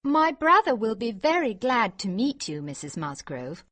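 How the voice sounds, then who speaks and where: A man voicing a sensible, confident, straightforward lady in an audiobook, in a brisk falsetto. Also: sing-song, bright, forceful tone, full character voice. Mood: kindly. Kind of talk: audiobook character voice.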